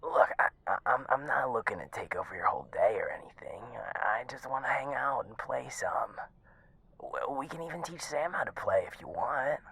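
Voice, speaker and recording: A man acting a teasing young male gamer friend in a casual audio roleplay, halting and playful, with a breathy voice. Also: mid-range voice, animated, sharp tone, no room sound, exaggerated voice. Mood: coaxing. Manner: halting, playful, pleading banter.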